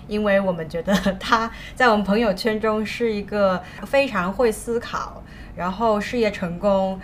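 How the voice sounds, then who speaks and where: A woman from China co-hosting a casual chat, with soft murmured backchannels and a deliberate delivery. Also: lowish voice, a little hiss or hum. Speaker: podcast co-host.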